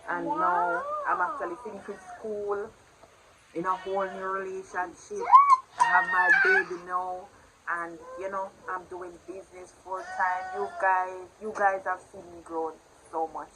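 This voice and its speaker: A woman's voice: mid-range voice, noisy background. A young woman in her twenties with a Jamaican accent, talking casually to her viewers in a home vlog. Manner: chatty and warm with her viewers, swings loud when playing with her baby. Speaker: vlogger. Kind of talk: casual vlog talk to camera. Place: home in the morning, indoors.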